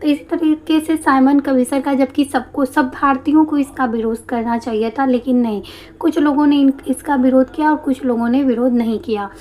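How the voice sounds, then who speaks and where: Woman teacher, throat a bit hoarse, high voice, walks through exam questions one by one. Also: fairly even intonation, normal speed, darkish tone. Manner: explains step by step, asks and answers her own questions. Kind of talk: exam-prep history lesson.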